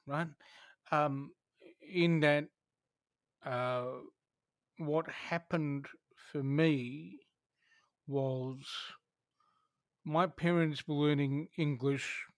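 A man in casual conversation, thoughtful and halting, often restarting his sentences. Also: fairly high pitch, dry recording.

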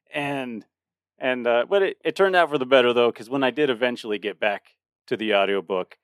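A man's voice: mid-range voice, moderate pace, clear, resonant tone, no echo, studio quality. A man in his late thirties co-hosting a podcast in a casual chat, rambling with frequent restarts and laughter. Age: 30s. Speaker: podcast co-host.

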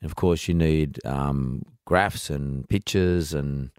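Podcast host: Australian male, deep register, informal and direct interviewing style. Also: slow pace, darkish tone, smooth, dry recording, clean studio sound. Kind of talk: conversational interview hosting.

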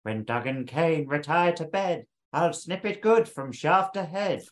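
A man's voice: on the high side, expressive, measured pace. A man playing a mischievous, crude male pixie thief in a comic audio story, talking in sing-song rhymes. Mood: mischievous taunting.

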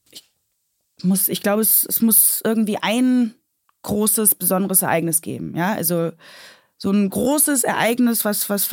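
A woman's voice: medium pitch, darkish tone, no echo. Hesitant woman, thoughtful, dry sound. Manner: hesitant, searching, repeats words. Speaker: interview guest. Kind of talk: conversational, thoughtful interview answers.

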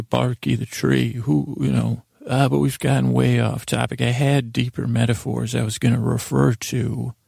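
An American man with a gravelly, raspy voice hosts a bedtime podcast in a rambling, improvised monologue, halting and stammering as he meanders. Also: medium pitch, a little mellow, no room sound, clean studio sound. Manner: meandering and halting, stammers and trails off mid-sentence. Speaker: host of a bedtime sleep podcast.

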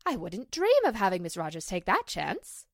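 Woman playing a gracious actress, animated and dry-sounding. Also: lowish voice, moderate pace, no echo, heavily acted. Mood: earnest, grateful. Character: gracious, earnest actress in distress. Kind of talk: 1940s radio drama acting.

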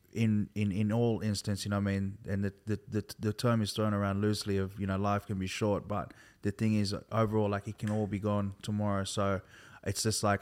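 An Australian man in his thirties from Sydney, a hip hop artist talking as a guest in a relaxed, rambling way, peppered with "like" and "bro". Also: on the low side. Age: 30s. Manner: laid-back, loose and rambling, full of "like" and "bro". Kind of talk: casual conversation.

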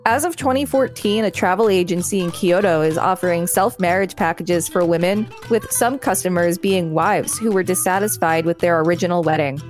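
A woman's voice: fairly low pitch, brisk, hardly any pauses, darkish tone. A woman co-hosting a podcast, reading aloud and commenting casually. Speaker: podcast co-host. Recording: music playing behind the voice.

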